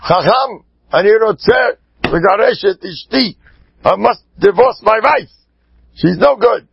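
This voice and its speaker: An impatient, fed-up husband, acted out by a male storyteller, with a raised, husky voice that jumps around in pitch. He is insistent and says things over and over, as a character in a spoken parable. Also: lively intonation, measured pace, dry recording, highs cut off, heavily acted. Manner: insistent, repetitive, pleading. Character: angry, impatient husband demanding a divorce.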